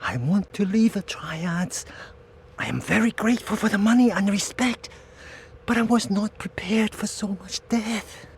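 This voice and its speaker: A man's voice: sits high, measured pace, vocal fry, a little hiss or hum. A man playing a reluctant, anxious gangster underling in a video game cutscene, plaintive, hesitant and blunt.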